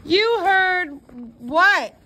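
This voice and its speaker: A woman's voice: very high-pitched, expressive, extremely slow, bright, forceful tone, voice pushed up, heavily acted. A woman voicing a chatty young girl spy in a storybook, with a casual, youthful manner. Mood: cautious.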